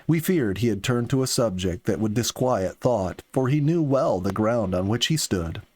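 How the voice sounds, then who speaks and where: A man hosting and reading a family audiobook as narrator, in a measured, dramatic storytelling delivery. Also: lowish voice, expressive, a little mellow. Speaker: storyteller and host.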